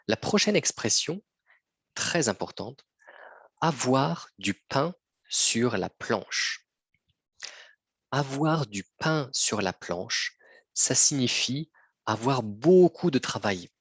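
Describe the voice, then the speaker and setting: A man teaching a slow, patient lesson to learners, repeating phrases and often checking that they follow. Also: medium pitch, slightly rough, no echo. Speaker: French teacher.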